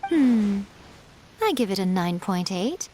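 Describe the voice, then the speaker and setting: An actress voicing a poised, sly adult female fox spirit in a video game, speaking in a smooth, unhurried and teasing way as a publisher judging story ideas. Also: medium pitch, big pitch swings, warm tone, a little hiss or hum.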